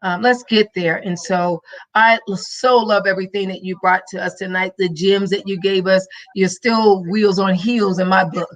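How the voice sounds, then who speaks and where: A woman's voice: mid-range voice, fairly even intonation, normal speed, low-quality recording. Emphatic preacher-style woman podcast host. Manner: preachy and emphatic, faith-filled motivational cadence. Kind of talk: live-streamed motivational talk.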